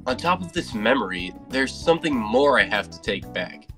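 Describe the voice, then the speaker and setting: A man voicing a wary young man who plots quietly and stammers when caught, in a fan dub of a comic. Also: mid-range voice, very animated, normal speed, soft background music. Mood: scheming. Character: wary young man with lost memories, scheming.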